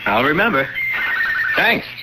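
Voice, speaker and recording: An American actor playing an affable, earnest and slightly awkward husband with a hesitant manner in a 1970s radio drama. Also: male voice, a little high, big pitch swings, measured pace. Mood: awkward, polite. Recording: hissy old radio broadcast.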